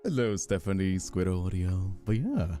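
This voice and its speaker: A man's voice: low voice, very animated, measured pace, dark tone. A man chatting casually on a live stream as its host, affectionate with his viewers, with a flirty touch. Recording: faint music behind the voice.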